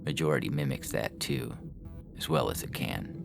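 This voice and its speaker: A man narrates fiction in an extremely low register with a gravelly creak. He reads from a script as the storyteller. Music plays clearly behind his voice. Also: very animated, normal speed. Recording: music bed under the voice.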